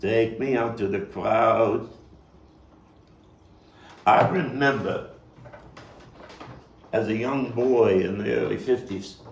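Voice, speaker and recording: An actor playing a nostalgic, soul-searching Brooklyn man in a dramatic stage monologue, halting and repeating words as he moves between hushed and emphatic delivery. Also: lowish voice, gravelly. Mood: fond. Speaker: playwright and actor. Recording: distant mic, roomy echo, faint noise.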